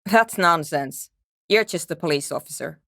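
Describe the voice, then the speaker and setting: A woman's voice: sits low, lively intonation, normal speed, creaky, pushed and bright, no echo, exaggerated voice. An actress playing a curt, skeptical, world-weary woman programmer in a video game, speaking in a brusque, dry, dismissive way. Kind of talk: video game character dialogue.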